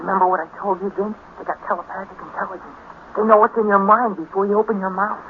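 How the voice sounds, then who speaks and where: An actor playing a cocky teenage space joyrider with an American accent, slangy and brash, in a 1950s radio drama. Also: male voice, high voice, fairly even intonation, fast, natural voice. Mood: uneasy. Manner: brash, slangy, show-off know-it-all. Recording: noisy, tinny 1950s radio recording.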